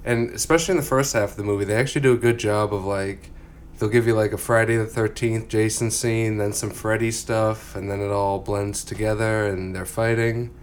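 A man's voice: lowish voice, fairly even intonation, a little fast, a little hiss or hum. A man co-hosting a podcast, chatting casually and jokingly about movies in a rambling way, getting over a cold. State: lingering cough from a cold. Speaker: podcast co-host.

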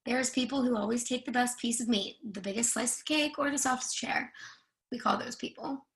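Woman acting a brash Irish woman, creaky and bright. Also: fairly high pitch, brisk. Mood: blunt, teasing. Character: brash, breathless Irish woman, blunt and teasing. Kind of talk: readers theatre stage-play acting.

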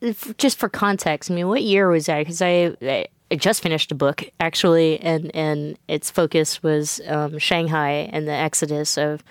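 A woman talk show host with a low, smooth voice. She talks in a chatty, loose way full of fillers and restarts. The sound is dry and close. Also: fairly even intonation, normal speed, few pauses, dry recording. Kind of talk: casual interview.

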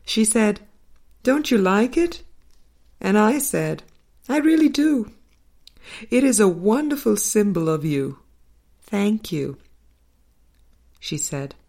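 A woman narrating a spiritual audiobook, reading scripted text in measured, deliberate phrases. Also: medium pitch, animated, creaky, darkish tone. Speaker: audiobook reader. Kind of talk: scripted spiritual book narration, read aloud.

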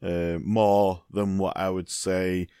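A man's voice: low-pitched, flat delivery, takes it slowly, dark tone, clear, resonant tone, no room sound, clean studio sound. A man co-hosting a casual football chat, rambling and leaning on "you know, I mean" as he goes. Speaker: football podcast co-host.

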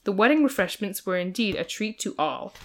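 A woman's voice: medium pitch, lively intonation, a little fast. A woman with a mid-range voice reads a story aloud. She is a podcast host, narrating in a dramatic storybook style with an amused edge.

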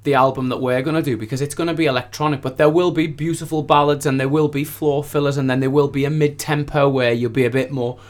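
A man's voice: medium pitch, flat delivery, fast, hardly any pauses. A man who is a recording artist, chatting casually and informally in a studio interview, often repeating phrases for emphasis.

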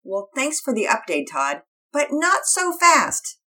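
A woman reading a gentle, measured dad. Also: sits high, big pitch swings, voice pushed up, heavily acted. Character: calm, kindly father.

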